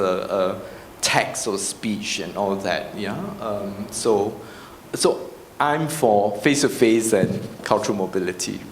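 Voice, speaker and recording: A man with a Singaporean accent speaking informally on a panel, explaining his points conversationally and checking in with short tag questions. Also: fairly low pitch. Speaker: panel speaker, arts practitioner. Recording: roomy echo, clear background noise.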